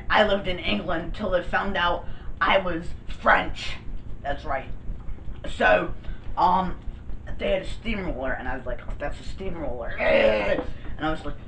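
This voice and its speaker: A man's voice: sits high, measured pace, vocal fry, sharp tone, a little hiss or hum, full character voice. A boy putting on a goofy boastful celebrity chef. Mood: theatrical. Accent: put-on fake accent. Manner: goofy, giggling at own jokes. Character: silly pompous male celebrity chef, boastful. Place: bedroom with gaming desk. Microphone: far camera. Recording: echoey room from far off.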